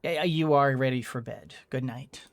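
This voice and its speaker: A gaming video host giving casual let's-play commentary, thinking out loud in fragments with playful asides. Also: medium pitch, normal speed.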